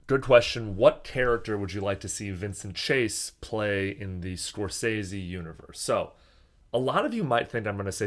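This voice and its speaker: A man hosting his podcast alone, talking in a chatty, jokey way with casual swearing, recorded on a small microphone in an echoey hotel room. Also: lowish voice. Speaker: podcast host. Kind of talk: casual solo podcast monologue.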